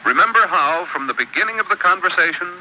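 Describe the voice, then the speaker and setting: A man narrates an old classroom film with a very bright, crisp tone. His pitch moves a lot as he sets out each rule in a measured, instructive way. A faint hiss sits under the old soundtrack. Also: animated, poor audio. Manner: measured, instructive, lists rules one by one. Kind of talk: scripted educational narration.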